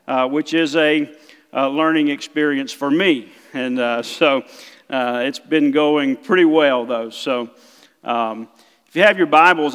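A middle-aged man with a South Mississippi accent, preaching as a guest missionary pastor, halting with many fillers. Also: a little high, fairly bright tone, clean tone. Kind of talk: sermon. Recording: church hall reverb.

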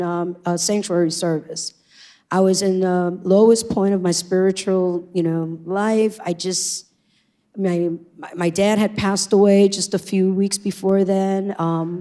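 Middle-aged woman, Puerto Rican accent, native Spanish speaker, lowish mellow voice, earnest testimony. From Puerto Rico. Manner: earnest, reflective, with frequent ums. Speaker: church member sharing testimony. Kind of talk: personal testimony on stage.

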